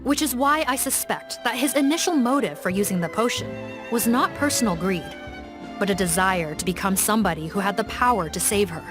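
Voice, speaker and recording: A woman voicing a composed police officer in a video game, reporting in a calm, matter-of-fact way. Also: mid-range voice, fairly quick, close to an everyday voice, low-quality recording. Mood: firm. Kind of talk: video game dub dialogue. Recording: game cutscene with music beneath. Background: music.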